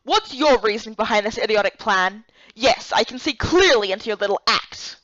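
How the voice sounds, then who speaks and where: Woman acting stern, commanding woman leader, higher-pitched. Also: animated, normal speed, dry recording, highs cut off. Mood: angry. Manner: authoritative, sharp, emphatic. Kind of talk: visual novel character voice-over.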